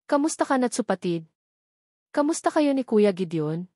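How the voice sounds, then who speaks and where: Audiobook role: concerned young female best friend, coaxing, read by a woman narrator, medium pitch, dry. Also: sing-song, normal speed, mellow, dry recording. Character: caring young woman urging her best friend to love again.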